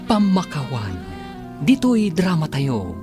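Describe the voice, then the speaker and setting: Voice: medium pitch, wildly swooping pitch, slow. A radio drama announcer with an Ilocano accent, giving dramatic scripted narration. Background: music.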